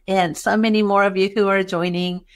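A woman's voice: medium pitch, flat delivery, moderate pace, clean tone. A middle-aged woman from Chile with a Chilean Spanish accent touched by Texan, hosting a bookkeeping webinar over a video call in a welcoming way with many fillers. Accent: Chilean Spanish with some Texan. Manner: warm, welcoming, with frequent fillers. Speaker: webinar host and bookkeeping educator. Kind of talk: webinar presentation.

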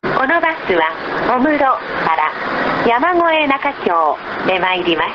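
Formal japanese bus announcer lady, high lilting voice, slow, muffled recording w loud vehicle noise. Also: big pitch swings. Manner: formal, measured, polite. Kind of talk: scripted public transit announcement. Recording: noisy dull low-quality IC recorder on bus.

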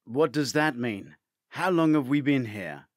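A man's voice: mid-range voice, animated, unhurried, no room sound, studio quality, lightly acted. A man voicing an injured, sardonic male investigator in an audiobook, terse and questioning. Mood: confused. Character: injured groggy male investigator, blunt, sardonic, demanding answers.

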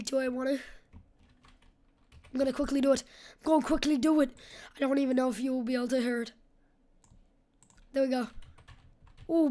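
High, scratchy-voiced young female gamer. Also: darkish tone. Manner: rambling, slangy. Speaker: gaming video host. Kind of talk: casual gameplay commentary.

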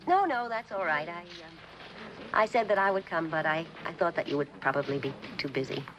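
A woman's voice: on the low side, expressive, fairly quick, dull audio, natural voice. An American actress playing a poised, needling wife in her thirties in a 1960s television drama. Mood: polite, tentative. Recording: noisy old TV film soundtrack.

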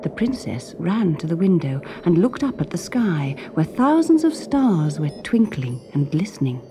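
A woman with a British accent reading a children's story as an expressive narrator. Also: on the low side, moderate pace, crowd noise.

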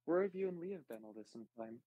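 A man's voice: sits high, animated, brisk, creaky, no room sound. A man playing a tabletop roleplay game in casual talk, on slightly muffled voice-call audio. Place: online voice call.

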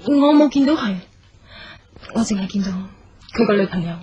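A woman's voice: fairly high pitch, animated, normal speed, dark tone, muffled recording, a little hiss or hum, lightly acted. A woman playing a guarded, polite young interior designer in a radio drama, with a Hong Kong Cantonese accent. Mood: defensive. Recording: radio drama with music underneath.

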